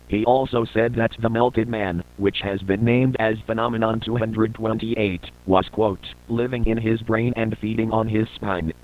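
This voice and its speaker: A man reading a scripted emergency broadcast announcement in a flat, formal, measured manner. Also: medium pitch, phone-quality sound.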